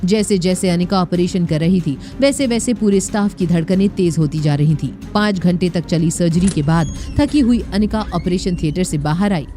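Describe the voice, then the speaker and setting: A woman's voice: lowish voice, dark tone. A woman reading scripted, dramatic story narration for an audio series. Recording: music bed under narration. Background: dramatic background music.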